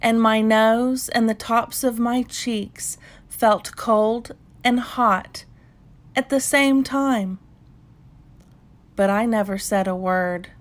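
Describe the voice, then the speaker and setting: Very slow-paced woman reading a bedtime story. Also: medium pitch. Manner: measured, unhurried storytelling with pauses. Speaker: children's story reader. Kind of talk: calm bedtime read-aloud narration.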